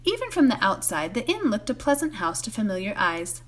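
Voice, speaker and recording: A woman narrates a novel as a bedtime read-aloud. Her pitch is average but moves widely. The delivery is expressive storytelling. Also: brisk. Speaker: volunteer story reader.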